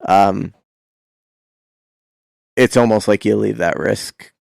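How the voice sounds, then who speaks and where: Low, creaky-voiced Canadian man chatting. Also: darkish tone, no echo, clean studio sound. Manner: hesitant, reflective, halting. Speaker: radio show co-host. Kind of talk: casual chat.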